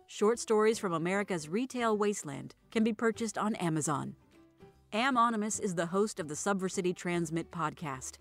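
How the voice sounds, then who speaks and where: A woman narrating scripted audio fiction and podcast credits with an expressive, wry storytelling manner. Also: medium pitch. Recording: dry recording with music behind. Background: music.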